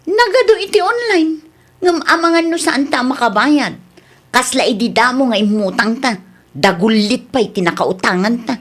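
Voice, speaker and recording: Actress as broke, fed-up wife in radio drama, higher-pitched voice, complaining and exclamatory delivery. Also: female voice, expressive, normal speed. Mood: exasperated. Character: exasperated cash-strapped wife seeking a loan. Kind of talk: amateur radio drama acting.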